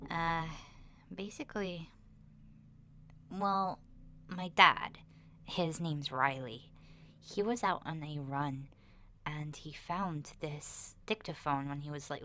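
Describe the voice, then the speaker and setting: Woman voicing a slow, soft-spoken, shy young boy. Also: sits low, heavily acted. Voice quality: soft, breathy, often dropping to a whisper. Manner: hesitant, shy, rambling with sighs and self-corrections. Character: awkward, rambling ten-year-old boy, a zombie apocalypse survivor. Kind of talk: ASMR roleplay, soft-spoken recorded diary monologue.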